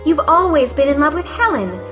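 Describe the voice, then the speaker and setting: A woman's voice: sits high, very animated, brisk, music in the background. An actress playing a proud, frank young woman in an audio play, speaking with emphatic dignity. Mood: accusing. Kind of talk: audio play acting of a 1910s stage comedy.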